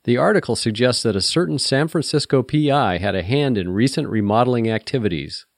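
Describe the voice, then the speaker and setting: A man's voice: medium pitch, animated, fairly quick, gravelly, no echo, clean studio sound, voice pushed up. A man voicing a playful, teasing and bossy female secretary in an audiobook story.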